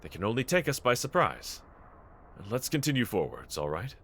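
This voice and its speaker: A man playing a brave, tender young swordsman in an anime audio roleplay, speaking gently and earnestly to a companion in formal phrasing. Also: medium pitch, huge pitch swings, moderate pace, slight background noise. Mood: calm, reassuring.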